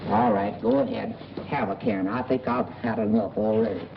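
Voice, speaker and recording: A cocky boarding-house schemer from a 1940s film comedy. His pitch leaps around as he brags and wheedles in folksy, rough grammar. The old soundtrack is muffled, with a faint layer of noise. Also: sing-song, normal speed. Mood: boastful, cocky. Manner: folksy, ungrammatical, blustering bragging. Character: boastful, wheedling boarding-house man, middle-aged, small-time schemer.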